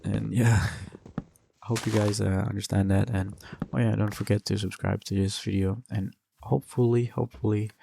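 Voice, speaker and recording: A man's voice: on the low side, flat delivery, moderate pace, vocal fry, mellow, slight background noise. A young man with an Indonesian accent, chatting loosely while playing a game, with lots of fillers and restarts. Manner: rambling, many fillers and restarts. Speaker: gaming channel host.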